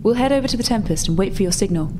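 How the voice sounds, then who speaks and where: A woman playing a brisk, practical rebel contact in a tabletop roleplay, explaining things matter-of-factly. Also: mid-range voice, expressive, background noise, close to an everyday voice. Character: female rebel contact, brisk, practical, slightly flustered insider technician.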